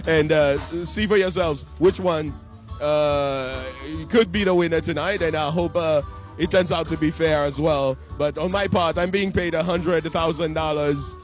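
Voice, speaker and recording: A man being interviewed as a pageant judge at a live evening event, talking in a halting, playful way with many fillers. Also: on the high side. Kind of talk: joking on-the-spot interview. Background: music playing.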